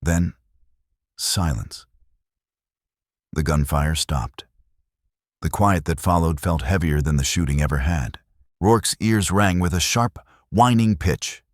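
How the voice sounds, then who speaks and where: A man's voice: low-pitched, slow, long pauses, dry recording, clean studio sound. A man narrating a dramatic Western tale as a scripted storyteller.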